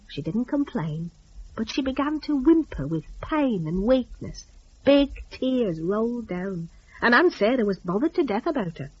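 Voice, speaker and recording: A woman storyteller with a husky voice and very lively pitch. She narrates dramatically in folksy rustic phrasing, as if talking to you. The audio is a little dull and lo-fi.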